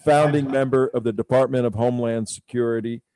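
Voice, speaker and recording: A man's voice: medium pitch, fairly even intonation, brisk, mellow, smooth, dry recording. A man hosting a radio talk show, speaking casually and rambling with many fillers.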